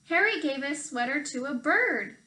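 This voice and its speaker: A woman voicing excited, curious young children in a storybook, exclaiming eagerly. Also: high voice, normal speed, echoey room, slight background noise, pitched above the performer's own voice. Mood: amazed, eager.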